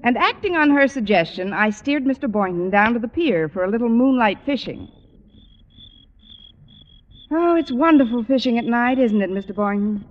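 An American actress playing a wry, romantically frustrated schoolteacher with a dry, sardonic delivery in 1940s radio comedy. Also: female voice, a little high, lively intonation, normal speed, lightly acted. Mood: wry, coaxing. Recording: muffled vintage radio, roomy reverb.

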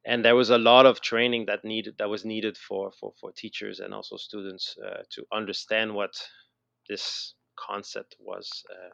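A middle-aged man with a Quebec French accent speaks in a low voice with a gravelly fry. He talks reflectively as an interview guest, stopping and restarting phrases as he goes. The recording is dry and slightly dull. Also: little pitch movement, a bit bright, no room sound. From Montreal, Canada. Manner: halting, repeats words mid-sentence. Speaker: interview guest, educator and designer. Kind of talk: casual interview, reflective storytelling.

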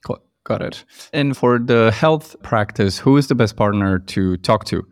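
Guy host asking easygoing questions, deep-ish voice, dry close mic. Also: measured pace, dry recording. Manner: relaxed, brief acknowledgements and open questions. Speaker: podcast host. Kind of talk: casual interview questions.

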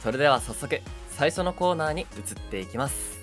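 A man's voice: a little high, lively intonation, brisk, fairly bright tone, soft background music. A young man co-hosting a casual live radio show in a polite, easygoing way. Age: young adult.

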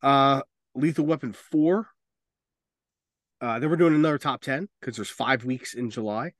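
A man's voice: medium pitch, moderate pace, no room sound, clean studio sound. A man hosting a casual movie podcast, talking informally and bluntly, with strong opinions and plenty of swearing. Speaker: podcast host.

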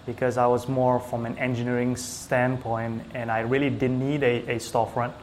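A man from Singapore chatting casually as an interview guest, with hesitant, halting phrasing. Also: mid-range voice, little pitch movement, slight background noise. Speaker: small business owner, IT technician, interview guest.

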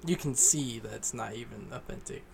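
A man's voice: mid-range voice, fairly quick, vocal fry, a little mellow, a little hiss or hum. A man chatting casually with friends, laid-back and mostly giving short reactions. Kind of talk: casual chat among friends.

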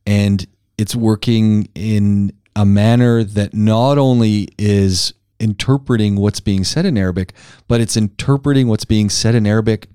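A man hosting a marketing podcast, introducing the episode and steering the interview with a guiding, deliberate delivery. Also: lowish voice, fairly even intonation, warm tone, clean tone, no room sound, clean studio sound. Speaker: podcast host.

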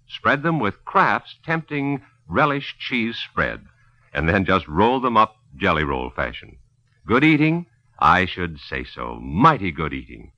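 A man's voice: sits low, bright, forceful tone, no room sound. A male announcer delivering old-time radio advertising and narration with folksy, emphatic salesmanship. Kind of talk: 1940s-50s radio ad and narration. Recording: muffled old dry radio recording.

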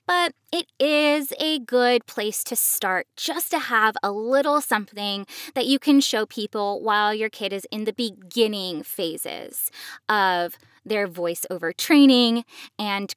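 A woman's voice: a little high, fairly bright tone, no room sound, clean studio sound. A woman hosting a podcast, explaining things to parents in a conversational way. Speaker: podcast host and voice actor.

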